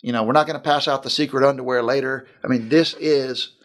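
A man who is a pastor, speaking in a relaxed discussion. He talks earnestly, piling up long lists, at a middle pitch. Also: fast talker. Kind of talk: casual podcast discussion.

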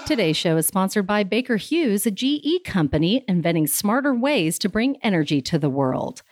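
A woman's voice: mid-range voice, sing-song, normal speed, dry recording, clean studio sound, soft background music. A woman hosting a podcast from her office, upbeat and effusive, moving from a scripted intro into casual interview chat. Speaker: podcast host.

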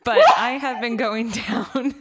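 A woman interviewing a guest in casual chat, bubbly and giggly, with animated asides. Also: on the high side, slow, heavy background noise.

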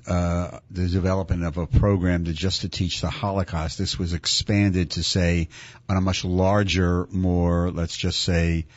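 A man's voice: deep voice, little pitch movement, unhurried, dry recording, lo-fi sound. A male interviewer asking probing questions in a conversational way, thinking aloud with repeated false starts.

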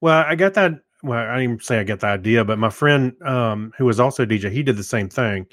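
A middle-aged man talks casually as a podcast co-host, telling stories in a conversational way. His pitch sits in the middle, and the sound is dry and free of room echo. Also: lively intonation, fast talker, a bit bright. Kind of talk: casual chat with storytelling.

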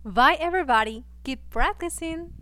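A woman's voice: sits high, expressive, moderate pace, pushed and bright. A woman in her twenties from Peru, a native Spanish speaker, answering practice interview questions in careful English. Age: 20s.

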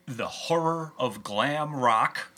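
A man's voice: mid-range voice, takes it slowly, bright, forceful tone. A man hosting a casual fan discussion, explaining with nerdy enthusiasm. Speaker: podcast host.

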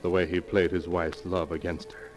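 Deep voiced guy narrating an old 40s radio mystery, clear music playing behind him. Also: flat delivery, normal speed, low-quality recording. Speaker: narrator and announcer. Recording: old radio broadcast recording.

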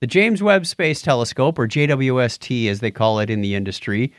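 A man's voice: mid-range voice, brisk, dry recording, studio quality. A man co-hosting a science news podcast, chatting casually and cracking jokes as he comments on stories. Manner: jokey, wisecracking.

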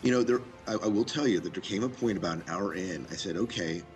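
Deep-voiced man chatting over music. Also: quick pace. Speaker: podcast co-host reviewing films. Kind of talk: casual movie review chat. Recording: music playing behind the voice.

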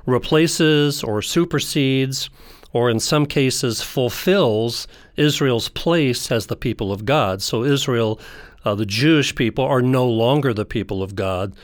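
A man's voice: mid-range voice, no room sound, clean studio sound. A man speaking as an interview guest, explaining like a theology teacher.

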